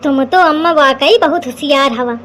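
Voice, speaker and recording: A woman plays a cheeky young village lad from a comedy cartoon. The voice is very high, with an Awadhi accent and a wry, complaining way of talking. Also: moderate pace, a bit bright, a little hiss or hum. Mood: agreeable, teasing. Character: cheeky unmarried young village son, mother's confidant.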